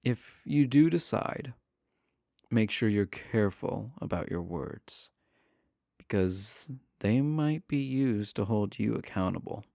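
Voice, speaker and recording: A man acts out a charming villain behind bars for an intimate audio roleplay. His voice is lowish and gravelly with plenty of fry, and he draws his words out slowly. He comes across sly, coy and flirtatious. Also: lively intonation, mellow, dry recording, clean studio sound, full character voice. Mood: sly, playful. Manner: coy, flirtatious, drawn-out casual delivery. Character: charming, teasing male villain prisoner, adorkable and sly.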